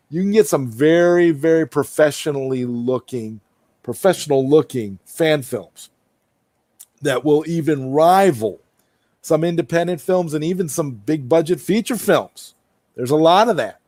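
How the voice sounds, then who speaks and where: Higher-voiced man hosting a casual livestream. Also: a little mellow, no room sound. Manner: repeats and rephrases phrases as he thinks aloud. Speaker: livestream host. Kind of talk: casual livestream commentary, reading out and answering chat comments.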